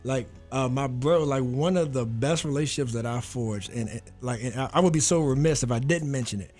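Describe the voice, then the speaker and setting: An American man hosting a casual talk show, laid-back and slangy, quick to laugh and chime in. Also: medium pitch, darkish tone, soft background music.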